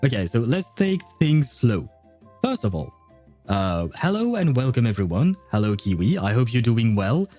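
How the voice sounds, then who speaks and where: Mellow, chatty man livestreaming a game. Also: mid-range voice, very animated, soft background music. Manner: rambling, halting, chatty with viewers. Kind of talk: casual livestream chat and commentary.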